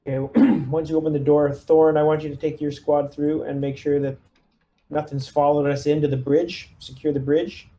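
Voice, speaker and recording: A man's voice: fairly high pitch, little pitch movement, normal speed, warm tone. A man playing a tabletop roleplaying game, chatting casually and giving orders in character in his everyday voice.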